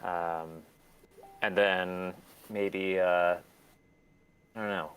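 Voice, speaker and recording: Deep-voiced, self-deprecating man chatting. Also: steady tone, drawn-out words, a bit bright, smooth, low-quality recording. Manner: self-deprecating, rambling, swears freely. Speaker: interview guest, record label and shop owner. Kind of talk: casual interview chat.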